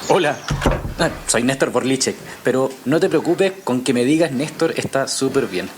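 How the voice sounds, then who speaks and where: An actor playing a friendly, awkward journalist in an audio drama, rambling and apologetic. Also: male voice, medium pitch, lively intonation, moderate pace, noisy background, lightly acted. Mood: chatty, welcoming. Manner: flustered, apologetic, rambling with self-corrections. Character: friendly, awkward male journalist who writes crime novels. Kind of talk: naturalistic audio drama acting.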